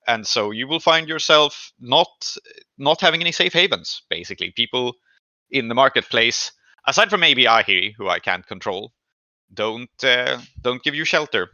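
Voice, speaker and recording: A man working as game master, narrating a tabletop session in a halting, deliberate way. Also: medium pitch, lively intonation, bright, forceful tone, no echo, lo-fi sound.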